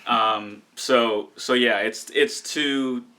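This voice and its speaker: A man's voice: mid-range voice, fairly even intonation, drawn-out words, pushed and bright. A young American man co-hosting a casual live stream and teasing his partner. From United States. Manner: teasing, joking. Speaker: co-host.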